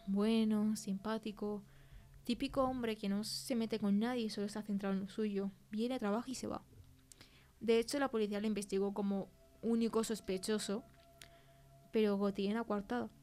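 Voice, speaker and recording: Spanish woman playing a witness on a podcast, chatty testimony, pretty flat pitch. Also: moderate pace, faint music behind, lightly acted. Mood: earnest. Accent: Spain. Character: female film crew worker recounting a frightening experience. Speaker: interview guest. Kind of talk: conversational fictional testimony.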